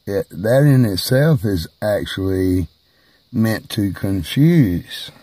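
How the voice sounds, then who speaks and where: A man speaking slowly and haltingly in a personal video monologue, who is hard of hearing and raises his voice at times. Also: fairly low pitch, expressive, dark tone, no room sound. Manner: slow, halting, emphatic delivery.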